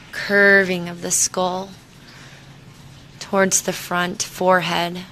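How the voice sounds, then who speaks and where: Slow, even-toned woman guiding meditation. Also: fairly low pitch, a little hiss or hum. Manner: soothing, unhurried, with long pauses. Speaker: guided meditation leader. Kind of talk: guided meditation, body scan.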